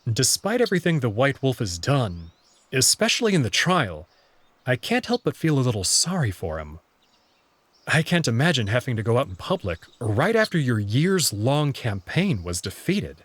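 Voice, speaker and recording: A man's voice: mid-range voice, sing-song, normal speed, a bit bright, breathy edge, no echo. A man narrating a scripted first-person story as its main character. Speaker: narrator and reader of the player character.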